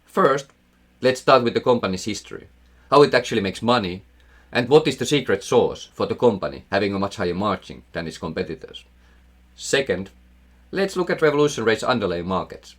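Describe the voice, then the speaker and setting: A man with a Finnish accent speaks in a low voice that rises and falls a great deal. He presents a scripted investing explainer with enthusiasm, throwing in dry, self-mocking asides. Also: sing-song, fairly quick. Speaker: investing channel host.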